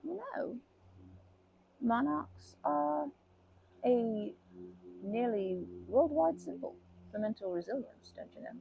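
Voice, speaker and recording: A woman voicing a kindly, chatty old grandma who rambles gently in a folksy, soothing way for an ASMR comfort roleplay. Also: a little high, expressive, takes it slowly, pauses often, lo-fi sound, a little hiss or hum, full character voice. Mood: chatty, fond. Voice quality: creaky, breathy.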